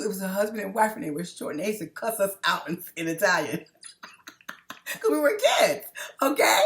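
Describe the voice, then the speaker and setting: A woman's voice: a little high, a bit bright. A middle-aged woman from New York City, talking straight to her viewers in a rambling personal monologue. She restarts her sentences a lot and keeps checking in with "okay?". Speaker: vlogger.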